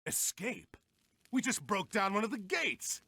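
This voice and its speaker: An actor playing a resolute, heroic admiral in a video game, speaking in a firm and earnest way. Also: male voice, high voice, very animated, unhurried, creaky, sharp tone, husky, dry recording, full character voice. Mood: determined. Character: resolute heroic Atlantean admiral, decisive and bold. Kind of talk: cinematic video game voice acting.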